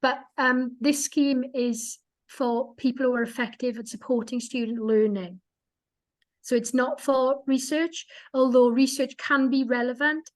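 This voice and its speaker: A woman's voice: high-pitched, steady tone, a little slow. A woman speaking in a webinar, explaining with enthusiasm and frequent restarts and repeated words. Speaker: guest speaker. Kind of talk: webinar talk.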